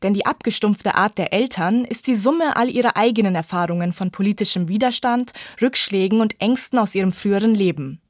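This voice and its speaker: A woman's voice: mid-range voice, a little fast, few pauses, no echo, studio quality. A woman reporter reading a scripted radio book review feature.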